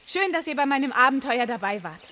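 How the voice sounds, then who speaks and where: A no-nonsense, exasperated heroine in a radio play for children. Her voice sits a little high, brisk and matter-of-fact, with clear sound effects noise behind it. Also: very animated. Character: brisk, exasperated female fairy-tale troubleshooter heroine.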